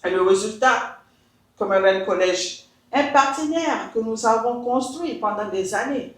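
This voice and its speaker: A woman from Mali, an education consultant, giving an emphatic, deliberate scripted talk straight to her listeners. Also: on the high side. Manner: emphatic, deliberate, switches into English. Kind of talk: scripted address to camera. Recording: very echoey room.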